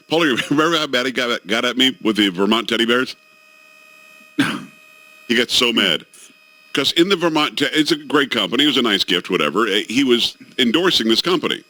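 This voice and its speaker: A man's voice: mid-range voice, brisk, no room sound. A middle-aged man hosting a radio talk show, telling jokey stories in casual banter with his co-hosts. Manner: animated storytelling, jokey.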